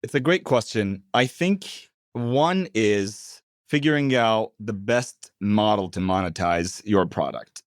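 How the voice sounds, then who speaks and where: A man in his thirties from Turkey, a product executive calmly explaining his work in an interview. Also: lowish voice, no room sound, clean studio sound. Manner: measured, explanatory. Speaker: head of product, guest. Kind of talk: interview answers.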